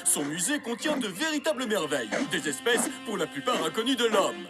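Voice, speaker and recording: A man's voice: high-pitched, big pitch swings, fairly quick, music in the background. A man voicing an effusive, formal television presenter in a French cartoon dub. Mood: gushing. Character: showy, breathless male television presenter.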